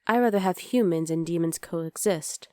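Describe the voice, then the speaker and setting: Soft, close-up demon girlfriend, acted by a woman. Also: on the low side, moderate pace, no room sound, natural voice. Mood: earnest. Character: affectionate young demon girlfriend, daughter of underworld leader. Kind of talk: ASMR roleplay, intimate one-sided dialogue to listener.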